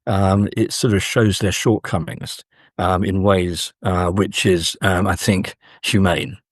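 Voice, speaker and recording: Husky man, academic guest. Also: low-pitched, steady tone, dry recording, studio quality. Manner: measured, hesitant, scholarly. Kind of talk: thoughtful literary interview discussion.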